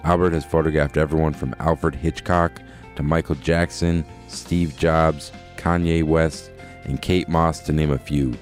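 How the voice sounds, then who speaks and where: Guy with a super deep voice reading a podcast intro over some guitar music. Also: steady tone, measured pace, hardly any pauses. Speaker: podcast host.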